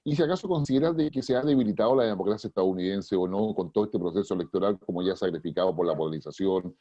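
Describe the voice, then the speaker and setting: A man hosting a panel show, moderating briskly and handing questions to guests. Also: low-pitched, mellow, no room sound, highs cut off. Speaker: host, moderator.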